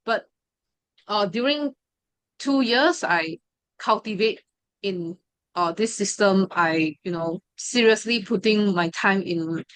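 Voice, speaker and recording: A woman's voice: mid-range voice, takes it slowly, clean tone, dry recording, rough recording. A young woman sharing a personal testimony, hesitant and full of fillers and restarts. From Malaysia. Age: 20s. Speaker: study group member sharing her story.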